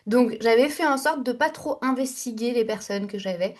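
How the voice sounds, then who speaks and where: A woman's voice: on the high side, moderate pace. A young woman guest on a podcast, telling her story in a candid, chatty way with fillers and restarts. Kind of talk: casual interview storytelling.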